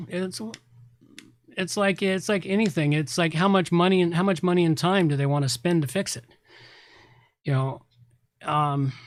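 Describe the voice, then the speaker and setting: A man's voice: high voice, fairly bright tone, no room sound. Man explaining tech, very dry close sound. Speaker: podcast host, website repair specialist. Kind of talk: casual explanatory tech talk.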